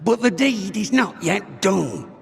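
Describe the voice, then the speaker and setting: A male actor playing an angry, pious Puritan settler in a video game, raspy and gravelly, with archaic speech in long reverb. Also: sits high, expressive, slow pace, exaggerated voice. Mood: indignant. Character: angry zealous Puritan settler man, vengeful ghostly echo. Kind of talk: dramatic video game acting.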